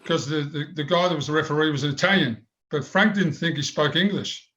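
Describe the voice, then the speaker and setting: A man's voice: on the high side, a little fast, a bit bright. An older man with a big voice, telling rambling stories in an interview. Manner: rambling anecdotes with many restarts. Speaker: former goalkeeper, guest. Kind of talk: storytelling interview.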